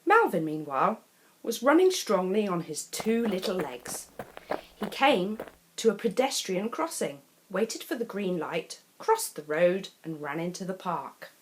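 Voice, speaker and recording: A woman reads a picture book aloud for young children. Her delivery is unhurried, with pitch that rises and falls in a lively way. She is expressive and deliberate, leaving dramatic pauses between short phrases. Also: poor audio. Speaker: storyteller reading children's picture books. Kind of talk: animated children's story reading.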